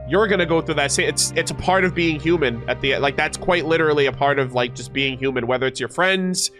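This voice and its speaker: A man's voice: on the high side, fairly bright tone. A man with a congested, stuffed-up voice, reading aloud and reacting casually and earnestly as a streamer, with emotion in his delivery. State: congested from a cold.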